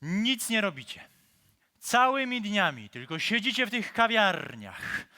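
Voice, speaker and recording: A man's voice: high-pitched, very animated, measured pace, bright, forceful tone, full character voice. An actor playing a fussy, easily flustered workman in a comic radio operetta, scolding and grumbling. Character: fussy provincial Polish workman visiting Paris, a man.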